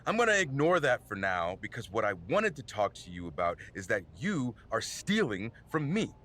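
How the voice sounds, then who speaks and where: Game casting: aggrieved wrestler, sharp and very animated delivery, emphatic and confrontational. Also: male voice, medium pitch, moderate pace. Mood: stern, accusing. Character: indignant male wrestler, aggrieved video game show creator.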